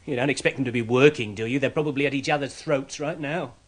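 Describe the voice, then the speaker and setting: An actor playing an eager, callous junior manager in a radio drama, smug and dismissive. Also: male voice, mid-range voice, fast talker, background noise, natural voice. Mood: smug, scornful. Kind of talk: British radio drama acting.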